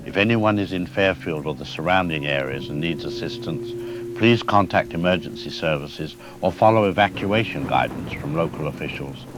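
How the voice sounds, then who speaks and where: A man's voice: a bass voice, normal speed, noisy background. A man playing a cautious government doctor in a radio drama interview, speaking in a careful, diplomatic, official manner. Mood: measured reassurance. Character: cautious, measured male government doctor giving expert comment.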